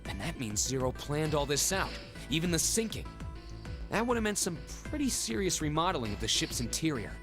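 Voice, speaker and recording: A man's voice: fairly high pitch, big pitch swings, fairly quick, music in the background. An actor playing an observant young man in a visual novel game, reasoning aloud in a matter-of-fact way. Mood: analytical. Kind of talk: dramatic visual novel voice acting.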